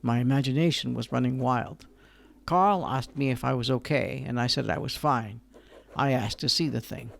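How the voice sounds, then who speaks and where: A man's voice: mid-range voice, moderate pace, vocal fry, a little hiss or hum, lightly acted. An actor playing a frightened man who tells of dreams that draw him toward a lake, narrating in a radio drama. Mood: fearful. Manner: uneasy, fearful confession. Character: haunted man recounting a dread secret.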